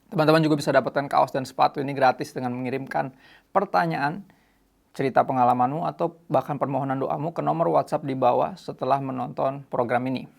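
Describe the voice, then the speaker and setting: A man's voice: medium pitch, steady tone, fast talker, creaky. An Indonesian man hosting a casual youth talk show, mixing English phrases into his speech. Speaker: host.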